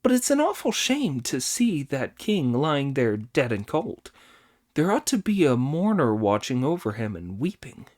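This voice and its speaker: A man's voice: mid-range voice, unhurried. A man reading an audiobook, voicing a cheeky, boastful male monkey king who bosses the others around. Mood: bossy. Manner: boastful, commanding, excitable.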